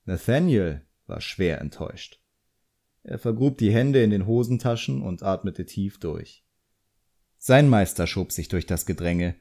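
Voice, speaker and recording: Male narrator for a fantasy audiobook, lowish register, soft dark tone. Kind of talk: scripted narration of a fantasy novel.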